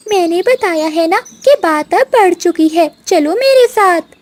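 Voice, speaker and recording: A woman voicing an angry, quarrelsome female bird mother in an animated children's story, scolding and indignant. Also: very high voice, unhurried, no room sound, voice pushed up. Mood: angry, urgent. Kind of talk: Hindi animated storybook acting.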